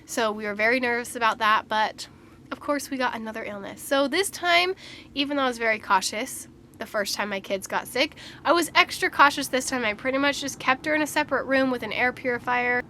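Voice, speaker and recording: A woman's voice: high voice, moderate pace, bright, forceful tone, slight background noise. A woman, a mother of three, chatting candidly to camera in a casual vlog, slightly congested and nasal, laughing often.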